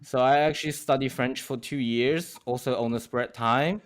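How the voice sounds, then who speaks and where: A young man from China, a guest artist chatting casually in a lively, halting English that is not his first language, laughing often. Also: medium pitch. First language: Chinese. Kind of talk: casual interview chat.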